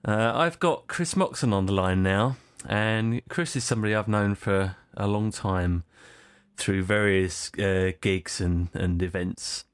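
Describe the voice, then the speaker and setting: Casting: male radio host, lowish voice, friendly, close dry mic. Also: a little slow, clean tone, no echo. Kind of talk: casual radio interview.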